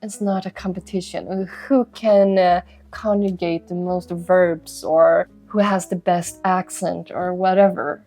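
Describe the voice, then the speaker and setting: A woman's voice: on the low side, flat delivery, a little slow, hardly any pauses, mellow. A young woman whose first language is Swedish, giving earnest, encouraging advice to the camera as a language-learning host and repeating her key points. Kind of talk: motivational talk to camera.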